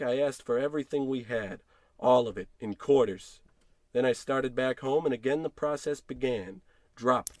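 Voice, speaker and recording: A man's voice: medium pitch, no room sound, lightly acted. Man acting a brooding, paranoid husband, radio suspense. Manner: theatrical, brooding, sardonic. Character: paranoid husband unraveling over a piggy bank. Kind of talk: old-time radio suspense acting, narrated monologue.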